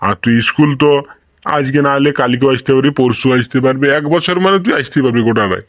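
A man's voice: medium pitch, animated, fast, no echo, natural voice. Folksy, lecturing cartoon village schoolmaster played by a man. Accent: rural western Bengal. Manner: didactic, folksy, checks for understanding. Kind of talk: comic cartoon dialogue.

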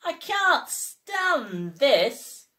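Storybook bear, played by a man: high, slow, melodramatic wail, worn out and exasperated. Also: very animated, sharp tone, heavily acted. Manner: drawn-out, wailing, melodramatic.

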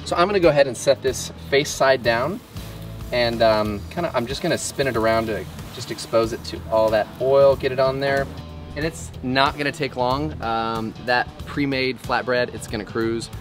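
A man in his thirties walks through a recipe at an outdoor griddle, chatting easily and poking fun at himself. He talks at an everyday pitch, and light music plays underneath. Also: normal speed, far from the mic. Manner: jokey, self-deprecating banter. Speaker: cooking show host. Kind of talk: casual cooking demonstration.

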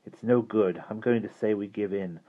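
A man's voice: on the low side, normal speed, close to an everyday voice. A man acts a storybook boy, rebellious and angry. He speaks with fierce defiance, and the audio is dull and narrow as if over a phone. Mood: bitter.

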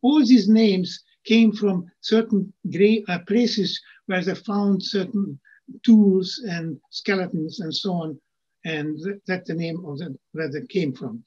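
Older guy reading slides on a video call, high husky voice, dull lo-fi sound. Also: slow, a little mellow. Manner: reads slide text, adds plain asides. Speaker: lecturer. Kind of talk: reading lecture slides with asides. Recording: muffled lo-fi video call audio.

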